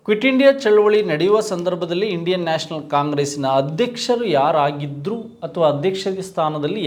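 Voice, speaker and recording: A man giving an exam-prep history lecture as a coaching teacher, explaining with rhetorical questions and repeating key terms. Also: a little high.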